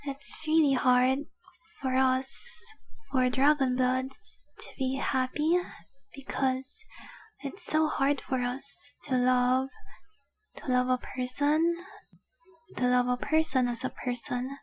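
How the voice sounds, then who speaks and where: A young dragon-blooded fiancée, acted by a woman, devoted and remorseful, in an intimate romantic audio drama. Her voice is high and breathy, often close to a whisper. She confesses slowly and earnestly, keeping her melody narrow and gentle. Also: fairly even intonation. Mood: hesitant, confessing. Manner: slow, earnest, tender confessing.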